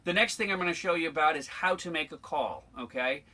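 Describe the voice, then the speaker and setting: Bright-voiced American man teaching boating basics. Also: fairly high pitch, brisk. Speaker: sailing instructor. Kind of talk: informal instructional demonstration to camera.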